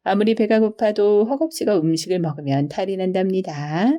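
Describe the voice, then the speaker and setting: A woman narrating scripted bedtime folktales for children in an expressive storyteller's manner. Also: mid-range voice, fairly quick. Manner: storyteller's expressive, polite explaining delivery.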